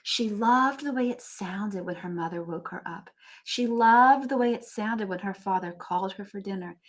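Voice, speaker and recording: A woman teacher reading a storybook aloud to young children, with expressive emphasis and chatty asides to them. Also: mid-range voice, normal speed.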